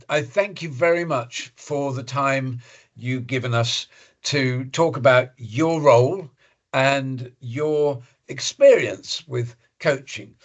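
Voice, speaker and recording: Polite husky male podcast host. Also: medium pitch. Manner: polite, measured, formal. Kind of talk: interview podcast.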